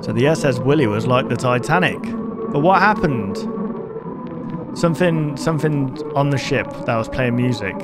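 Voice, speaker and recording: A man's voice: medium pitch, expressive, moderate pace. A man giving casual, informal reaction commentary, thinking aloud with some mild swearing. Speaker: reaction video host. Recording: loud music behind the voice.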